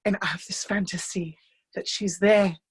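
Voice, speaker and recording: An actress performing a monologue as a chatty, dryly amused life-drawing model who confides in the listener with hesitant, conversational asides. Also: female voice, mid-range voice, steady tone, normal speed, slightly rough. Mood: wistful. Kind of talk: theatrical monologue in a staged reading. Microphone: video call.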